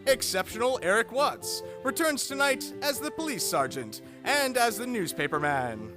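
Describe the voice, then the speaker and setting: High-pitched, animated male radio show host. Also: normal speed, music in the background. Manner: grandiose, showmanlike. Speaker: host, announcer. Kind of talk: theatrical old-time radio announcing.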